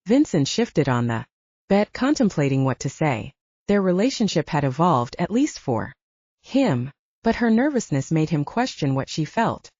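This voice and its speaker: A woman reading an audiobook, narrating fiction and its dialogue in an expressive manner. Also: deep voice, moderate pace, breathy edge, no room sound, dull audio.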